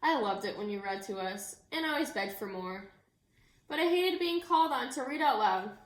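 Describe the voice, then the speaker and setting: A woman reads a children's picture book aloud in an echoey room. Her voice sits a little high, and she tells it expressively, like a teacher addressing a class of young children. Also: normal speed. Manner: animated storytelling with asides to young listeners. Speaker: teacher reading aloud to second graders.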